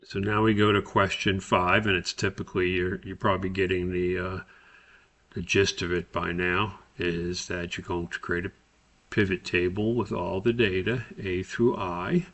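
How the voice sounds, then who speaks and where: A man giving an informal software tutorial, thinking aloud in a halting way as he explains each step. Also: sits low, level tone. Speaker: tutorial presenter. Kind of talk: informal software screencast walkthrough.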